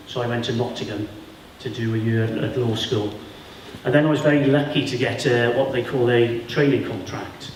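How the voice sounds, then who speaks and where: A man's voice: mid-range voice, a little hiss or hum. A Welsh-speaking man from Wales, in his forties, giving a plain, friendly career talk to school pupils and speaking to them directly. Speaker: guest speaker. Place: school hall or classroom. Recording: echoey room from far off.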